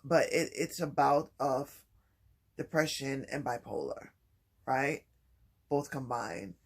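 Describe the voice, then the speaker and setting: Soft, halting man with a gravelly voice. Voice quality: low voice. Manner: soft-spoken, halting, many pauses and restarts. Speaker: vlogger. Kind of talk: personal vlog, reflective talk.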